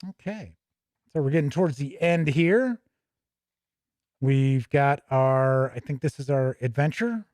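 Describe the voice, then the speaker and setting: A man's voice: medium pitch, expressive, measured pace, long pauses, a little mellow, no echo, studio quality. A man hosting a tabletop gaming stream, talking informally and thinking aloud as he goes. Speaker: host of a tabletop gaming news and review show. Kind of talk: casual livestream walk-through of a game book, chatting with viewers.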